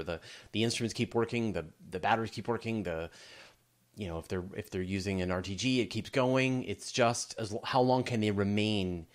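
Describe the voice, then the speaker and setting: A middle-aged man hosting a conversational interview, thinking aloud as he builds long, wandering questions. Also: fairly low pitch. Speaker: interviewer, podcast host.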